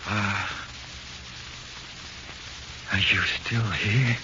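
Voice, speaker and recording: An actor playing a frightened small-time killer in 1940s radio horror, with nervous, halting, slangy talk. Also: male voice, fairly low pitch, fairly even intonation. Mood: wary, nervous. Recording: muffled hissy 1940s radio recording.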